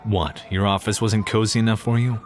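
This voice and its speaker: A man's voice: fairly low pitch, steady tone, fairly quick, a little hiss or hum, close to an everyday voice. A man playing a sardonic, blunt police detective in an audio crime drama. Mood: sarcastic, irritated. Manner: sarcastic, blunt. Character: sardonic, gruff adult male police detective. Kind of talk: audio drama acting with narration.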